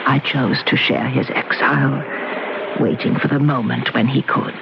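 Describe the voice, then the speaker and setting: A woman's voice: very deep voice, fairly even intonation, moderate pace, vocal fry. An actress playing the mysterious, alluring ghost of a young woman in a radio drama, speaking solemnly and enigmatically. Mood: mournful, solemn. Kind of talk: old radio drama acting. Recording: muffled noisy old radio recording.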